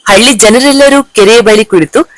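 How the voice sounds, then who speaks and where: A woman narrating a children's cartoon story in a slow, deliberate storytelling way. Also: a little high, dry recording.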